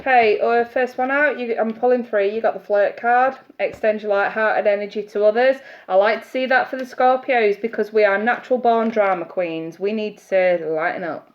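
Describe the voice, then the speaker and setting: A woman's voice: on the high side, moderate pace, few pauses. A woman reading tarot on a live stream, chatty and congested from hay fever, greeting viewers by name. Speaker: tarot reader. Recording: muffled audio with steady hiss.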